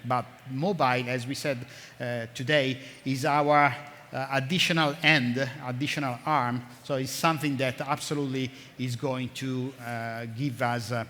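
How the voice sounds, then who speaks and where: Halting man in a big hall. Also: mid-range voice, a bit bright. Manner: halting, many fillers and restarts. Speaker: conference speaker. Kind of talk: conference talk. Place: large conference hall. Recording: long hall reverb, faint noise.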